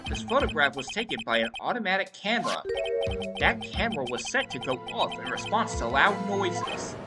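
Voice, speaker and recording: A woman voicing an earnest young male defense lawyer in a video game, emphatic and argumentative, over loud game music. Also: on the low side, sing-song, moderate pace, recorded from a distance. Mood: assertive.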